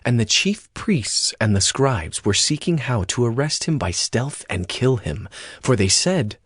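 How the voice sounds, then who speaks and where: A male narrator reading scripture aloud in a steady, expressive way. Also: mid-range voice, unhurried, breathy edge, no echo, clean studio sound. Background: music score.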